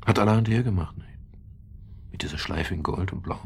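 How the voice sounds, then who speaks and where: An actor playing a grieving, guilt-obsessed widower in a radio crime drama, speaking haltingly and repeating himself. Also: male voice, deep voice, creaky, slightly rough, full character voice. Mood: pointed, suspicious. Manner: halting, repeats himself, sudden agitated outbursts.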